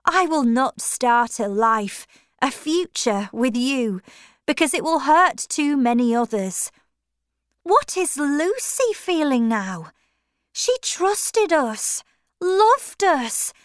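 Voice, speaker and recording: A woman's voice: sing-song, slow, dry recording, clean studio sound, exaggerated voice. A woman voicing a principled young woman in a storybook reading, speaking earnestly and pleadingly. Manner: earnest, pleading, raised pitch.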